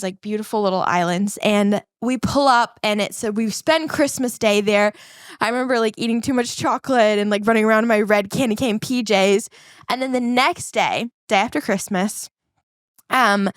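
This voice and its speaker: A woman in her thirties with a New Zealand accent tells a personal story as a podcast guest. Her voice sits a little high and sounds lively. The sound is dry and close. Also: no echo. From England, raised in New Zealand. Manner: animated, chatty storyteller using many likes.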